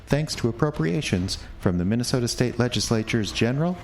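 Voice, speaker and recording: A man reading scripted credits as an announcer for an audio drama, formal and deliberate. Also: medium pitch, lively intonation, a little mellow, slight background noise.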